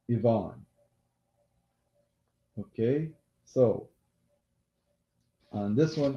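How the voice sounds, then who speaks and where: Slow-talking man hosting a live quiz. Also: medium pitch. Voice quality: loud voice. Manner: slow, repeats questions, apologetic asides. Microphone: laptop mic. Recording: echoey room, dull lo-fi laptop audio.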